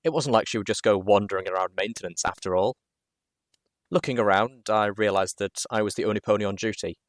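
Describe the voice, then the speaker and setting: A man with a fairly low voice reads a story aloud in the first person. His delivery is expressive and dramatic, and the recording is close and dry, with very little room sound. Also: a little fast, no room sound. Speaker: audiobook reader, show host.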